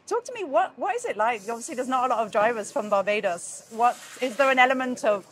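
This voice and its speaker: Woman interviewer, rapid and eager, a touch high. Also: animated, slight background noise. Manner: upbeat, enthusiastic, quick follow-ups. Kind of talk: interview questions.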